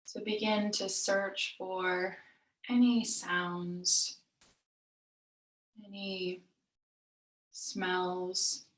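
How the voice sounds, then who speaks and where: Female meditation guide, very slow and soothing, narrow pitch movement, long pauses, recorded at a distance from the mic. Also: little pitch movement. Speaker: yoga nidra meditation teacher. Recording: roomy echo, distant mic.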